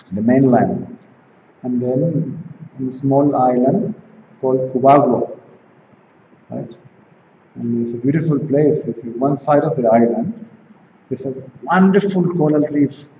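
Venezuelan man chatting in a roomy room. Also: mid-range voice. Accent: Venezuelan Spanish. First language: Spanish. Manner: conversational, keeps asking "right?" and "see?". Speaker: spiritual teacher. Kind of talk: informal satsang talk. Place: home room with tiled walls, indoors. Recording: roomy, dull camera sound, faint hiss.

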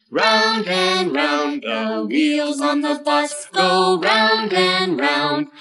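A woman's voice: high-pitched, vocal fry, distant mic. A woman singing a children's nursery song in a simple sing-along way, with the words repeated over and over. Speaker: singer. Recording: voice set back from the mic over loud backing music.

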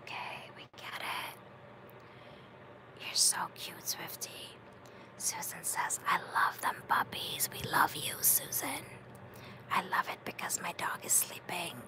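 Woman host, ASMR whisper, slow, warm-toned chat reading. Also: lowish voice, sing-song. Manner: soft whisper, affectionate. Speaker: livestream host.

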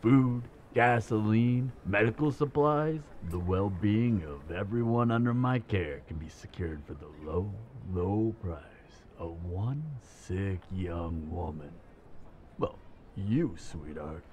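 An actor playing a smug, menacing camp villain in an apocalypse audio drama, taunting in a drawl. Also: male voice, on the low side, lively intonation, slow, lo-fi sound, a little hiss or hum. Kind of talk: dramatic audio roleplay acting.

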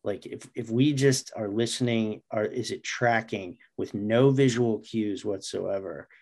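A man's voice: on the low side, unhurried, darkish tone. A middle-aged man chatting casually as a writer guest in an interview over a video call. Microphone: video call microphone.

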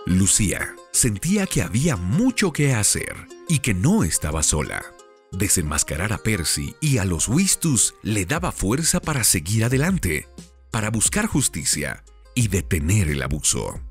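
Very animated, lowish male narrator. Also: measured pace, faint music behind. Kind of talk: dramatic scripted radio drama narration.

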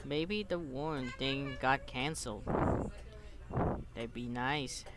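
A gaming streamer, giving exclamatory, halting English commentary and reading game text aloud. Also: medium pitch. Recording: clear background noise and clicks.

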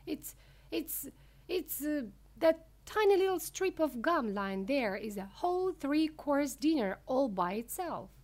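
A woman voicing an eccentric, excitable male candy inventor, proud and emphatic as a showman, in a storybook reading. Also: high-pitched, unhurried, pitched above the performer's own voice. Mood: grandly boastful.

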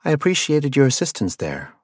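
Storybook: hot-headed woman sellsword, voiced by a man, brash and yelling, wildly swinging pitch. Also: sing-song, a little fast, no room sound, clean studio sound. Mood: cocky. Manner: yelling insults, brash and loud. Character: brash foul-mouthed woman mercenary, hot-tempered.

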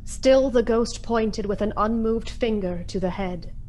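A woman narrating a radio play in a solemn, literary, dramatic storytelling style with deliberate phrasing. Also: fairly high pitch, darkish tone, a little hiss or hum.

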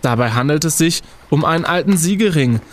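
An actor playing a young student detective and jaunty team leader in an audio drama, commanding and given to quaint sailor slang. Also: male voice, a little high, expressive, a little fast, close to an everyday voice. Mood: earnest. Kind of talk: German audio drama acting.

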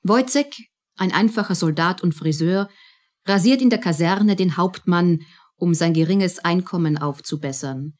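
A woman reading scripted narration in a measured, composed manner. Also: on the low side.

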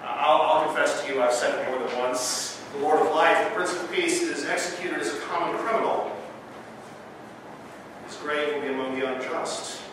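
A man's voice: a little high, fairly even intonation, normal speed. A man in his thirties, a pastor preaching and reading scripture with earnest emphasis in a church sanctuary. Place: church sanctuary with pipe organ. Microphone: far camera. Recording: echoey church from far off, noisy.

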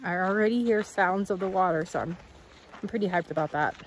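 Woman vlogging outdoors amid noise. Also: fairly low pitch. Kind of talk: casual outdoor vlog chat. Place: outdoors on a rocky creek trail. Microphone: handheld camera at arm's length. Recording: noisy outdoor recording with wind and rushing water.

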